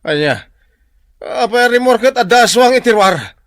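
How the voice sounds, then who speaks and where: A man's voice: extremely high pitch, lively intonation, moderate pace, dry recording, clean studio sound. A man playing a silly, cowardly, hard-drinking husband in a comedic radio drama, whiny and exclaiming, with an Ilocano accent. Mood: panicked.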